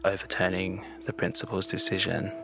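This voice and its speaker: Low gravelly man, flat delivery. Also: music in the background. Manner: measured, thoughtful, slight hesitations. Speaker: journalist guest. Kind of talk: interview conversation.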